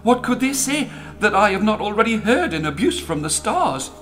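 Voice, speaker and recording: Bloke doing a timid eager comet from a storybook, high anxious pleading voice, music under it. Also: male voice, sing-song, moderate pace, a bit bright. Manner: anxious, self-coaxing, pleading. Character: timid, nervous young comet, boastful, eager to be heard. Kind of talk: storybook character voice.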